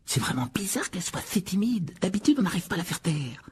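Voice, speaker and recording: A man's voice: high-pitched, sing-song, a little fast, breathy edge, voice pushed up, full character voice. A man voicing a shy, eager, self-conscious young wizard boy in an audiobook. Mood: puzzled.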